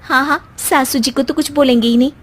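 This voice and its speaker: Woman voicing a high, feisty young Indian daughter-in-law. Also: steady tone, fairly quick, fairly bright tone. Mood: grumbling. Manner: sarcastic, indignant. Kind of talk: animated cartoon story dialogue.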